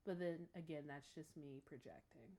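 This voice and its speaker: A woman hosting a casual reaction commentary, speaking thoughtfully and haltingly, often trailing off. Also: deep voice, mellow. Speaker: reaction host.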